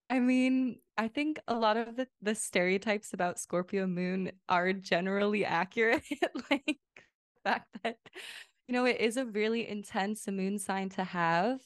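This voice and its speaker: A woman guest who grew up between Lithuania and the United States, talking in a relaxed, reflective way with light laughs. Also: medium pitch, a little slow, dry recording, clean studio sound. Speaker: podcast guest, energy healer. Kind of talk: casual interview chat.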